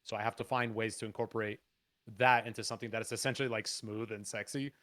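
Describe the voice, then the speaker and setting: A man from Canada with a raspy, low voice, chatting on a podcast as a guest musician, animated and swearing freely. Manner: animated, swears freely, quick excited bursts. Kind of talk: casual podcast chat.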